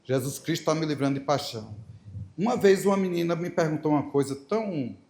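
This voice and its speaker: A man's voice: on the high side, expressive, fairly quick, darkish tone. A middle-aged man from Paraíba, Brazil, with a Paraíba accent, preaching to a congregation, storytelling with playful asides and emphatic repetition. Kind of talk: church talk with Bible reading.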